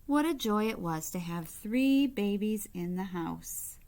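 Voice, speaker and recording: A woman reading a children's picture book aloud like a teacher, with expressive, deliberate phrasing and short asides to young listeners. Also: mid-range voice. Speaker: read-aloud storyteller, teacher-like.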